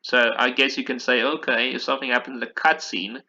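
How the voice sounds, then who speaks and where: Guy podcast host chatting games, really bright forceful voice, kinda dull lo-fi audio. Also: mid-range voice, steady tone, fast talker. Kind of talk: casual discussion of video games.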